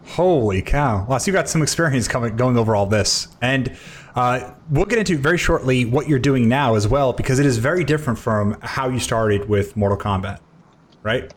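A man's voice: mid-range voice, moderate pace. A man hosting a podcast interview, casual and enthusiastic, often amazed by his guests. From United States. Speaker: podcast host.

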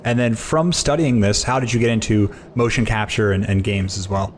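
A man's voice: on the low side, steady tone, normal speed, a little hiss or hum. A man hosting a podcast interview, casual and enthusiastic, often amazed by his guests. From United States. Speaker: podcast host.

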